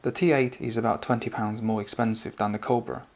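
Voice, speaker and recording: A man's voice: medium pitch, little pitch movement, a little fast. A man giving a conversational, explanatory spoken product review and demonstration.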